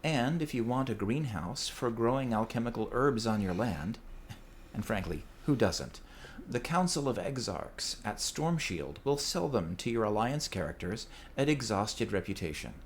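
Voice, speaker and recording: A man delivering a scripted gaming tips segment in a droll, measured manner. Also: medium pitch, animated, a little hiss or hum. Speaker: podcast tips segment contributor.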